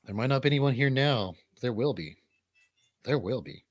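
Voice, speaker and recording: A man's voice: medium pitch, lively intonation, moderate pace, no room sound. A middle-aged man hosting a casual live stream in informal, sometimes sweary chat. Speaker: show host.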